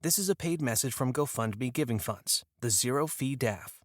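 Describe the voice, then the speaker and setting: A man's voice: mid-range voice, expressive, normal speed, dry recording, clean studio sound. A man giving a scripted commercial narration. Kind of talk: scripted ad read.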